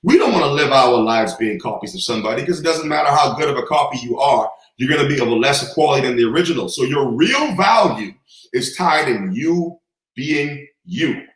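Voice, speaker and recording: A man's voice: medium pitch, lively intonation, fairly quick, fairly bright tone, echoey room, rough recording. A man from the Bahamas, a guest telling stories with confident, preacher-like cadence. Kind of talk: storytelling in a conversation.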